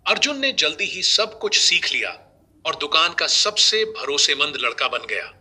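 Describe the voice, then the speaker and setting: A man narrating a scripted moral story as a storyteller, in a measured delivery. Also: fairly high pitch, big pitch swings, sharp tone, slightly rough.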